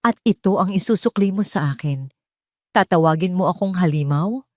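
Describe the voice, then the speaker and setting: A woman voicing a calm, deranged male kidnapper in a storybook, sweetly menacing and deliberate, speaking with obsessive conviction. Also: fairly low pitch, no echo, studio quality, close to an everyday voice. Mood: dark, menacing. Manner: slow, deliberate, full of conviction.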